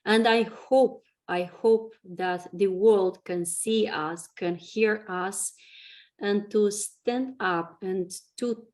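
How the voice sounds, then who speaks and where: Woman hosting an interview, really slow n halting, mid pitch, hunting for words. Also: darkish tone. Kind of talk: interview questions and remarks.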